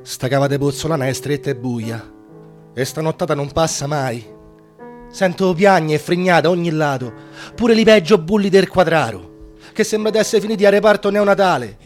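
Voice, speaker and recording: An actor playing an anxious, gruff prisoner among rounded-up men, with a Roman accent, in a wartime radio drama. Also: male voice, on the high side, lively intonation, moderate pace, lightly acted. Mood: grim. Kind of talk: radio drama monologue and dialogue. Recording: music under the voice.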